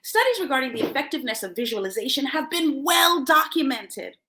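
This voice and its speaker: A middle-aged woman hosting a self-help show, reading aloud and commenting in an emphatic motivational style with deliberate pauses. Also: sits high, big pitch swings, sharp tone. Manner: emphatic, dramatic pauses, chuckles between phrases.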